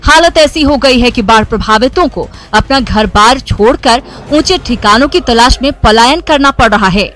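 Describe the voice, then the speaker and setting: A woman with a high voice reads a scripted news report in a measured, formal manner. Soft music runs faintly under her studio voice-over. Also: animated.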